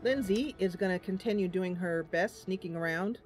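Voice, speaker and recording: Lady chatting away while she plays a game, kinda playful, thinking out loud, some music under her. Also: fairly low pitch, moderate pace. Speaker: gameplay host and role-player. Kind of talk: casual game commentary with voiced role-play.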